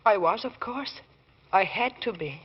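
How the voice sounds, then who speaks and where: A woman's voice: mid-range voice, expressive, a little slow, breathy edge. A guarded widow in an old radio thriller. She is poised, grave and restrained in her delivery, heard through a dull vintage recording. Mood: guarded, wounded. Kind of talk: 1940s radio acting.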